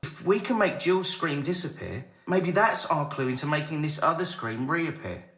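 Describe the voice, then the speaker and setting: A man's voice: fairly high pitch, expressive, moderate pace, fairly bright tone, faint music behind. An actor playing a weary technician who grumbles sarcastically at his boss. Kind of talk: radio drama acting. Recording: roomy echo.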